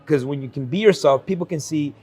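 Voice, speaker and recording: A man in his forties from El Salvador, a guest speaking earnestly at an investment conference about his life, with frequent fillers and quick laughs. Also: on the high side, darkish tone, husky, soft background music. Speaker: guest entrepreneur. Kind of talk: candid conversational storytelling.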